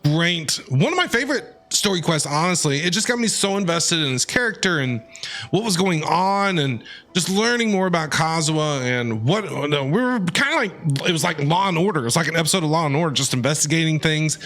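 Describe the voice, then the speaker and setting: A man's voice: a little high, animated, brisk, fairly bright tone. A man streaming a video game, giving enthusiastic, casual reaction commentary in an informal way. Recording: music behind the voice. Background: game music.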